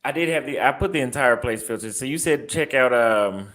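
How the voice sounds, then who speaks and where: A man's voice: mid-range voice, animated, brisk, a bit bright. A young man with a Texas accent, chatting casually in a vlog and reacting aloud as he browses apartment listings, repeating his phrases. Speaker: vlogger and barber.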